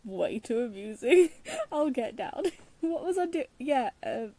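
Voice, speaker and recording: A woman's voice: high-pitched, sing-song, measured pace, mellow, dry recording. A woman giving casual, wry commentary as she plays through a video game, with muttered asides. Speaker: game streamer. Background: faint game music.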